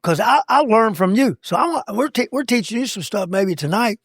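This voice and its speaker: A man with a raspy voice, a coach co-hosting a podcast, talking in punchy, preachy motivational bursts. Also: high-pitched, animated, fairly quick, no echo, clean studio sound. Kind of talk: casual podcast chat.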